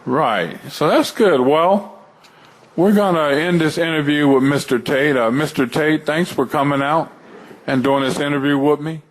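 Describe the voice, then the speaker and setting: A man hosting an interview, talking in a relaxed, conversational way and affirming his guest. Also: a little high, moderate pace. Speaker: interview host. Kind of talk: casual interview.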